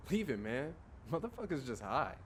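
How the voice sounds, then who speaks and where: A man playing a rough, irritable street gang member in a comic dub. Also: medium pitch, sing-song, measured pace, a little hiss or hum. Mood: dismissive. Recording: loud music over the voice.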